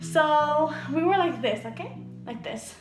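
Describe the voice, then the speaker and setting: A young woman telling a storytime tale in a vlog, animated and giggly, acting out voices as she goes. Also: high voice, measured pace, over music. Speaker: vlogger. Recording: echoey room.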